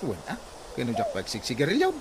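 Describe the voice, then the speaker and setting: A man plays a boastful, jovial friend in an Ilocano radio comedy drama. He has an Ilocano accent and a very lively, mid-pitched delivery. Clear background noise sits behind the voice. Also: fast. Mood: proud, jovial. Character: boastful, jovial drinking pal who claims reformed vices.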